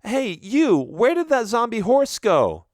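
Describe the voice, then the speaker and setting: A man reading a story aloud, voicing a defiant, hot-tempered teenage girl in a raised, shrill voice. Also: big pitch swings, measured pace, no echo, studio quality. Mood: shocked.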